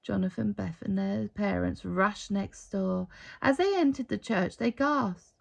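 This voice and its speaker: Creaky-voiced woman reading a storybook aloud. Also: mid-range voice, measured pace. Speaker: storybook reader. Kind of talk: expressive children's read-aloud.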